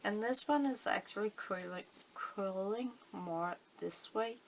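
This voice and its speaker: A middle-aged woman from Denmark with a Danish accent, calmly explaining a fabric-flower craft at her table, thinking aloud with many fillers. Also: mid-range voice, a little slow, a little hiss or hum. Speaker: crafting channel host. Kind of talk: casual craft tutorial. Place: indoors at a table, hands on camera.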